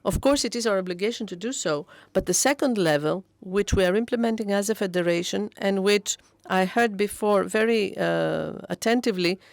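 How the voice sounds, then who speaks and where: A woman interpreting a speech into English at a conference, steady but with frequent hesitations and self-corrections. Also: mid-range voice, normal speed, vocal fry, hardly any pauses, no echo.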